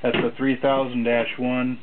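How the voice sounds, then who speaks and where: A man's voice: mid-range voice, little pitch movement, a little slow. Man on tinny, very noisy audio. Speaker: seller demonstrating vintage shortwave radios. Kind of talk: casual off-the-cuff product demonstration. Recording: tinny narrowband audio, loud radio static. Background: loud shortwave radio noise.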